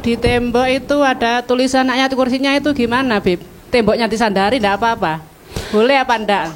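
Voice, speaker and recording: A woman's voice: fairly high pitch, brisk, a little hiss or hum. A woman asking a religious teacher a question in informal, short phrases. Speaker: audience member asking a question. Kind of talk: casual spoken question.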